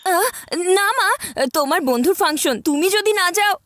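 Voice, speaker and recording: High-pitched scheming cartoon schoolboy. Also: female voice, lively intonation, normal speed, fairly bright tone, slight background noise. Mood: pleading. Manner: exclaiming, wheedling, theatrical. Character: scheming, eager-to-please schoolboy, older brother. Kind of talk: children's cartoon dub acting.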